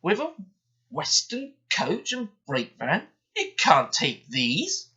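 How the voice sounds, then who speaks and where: A man voicing a suspicious male station foreman in a storybook, questioning loudly. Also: sits high, huge pitch swings, slow, breathy edge, muffled recording, voice pushed up, exaggerated voice.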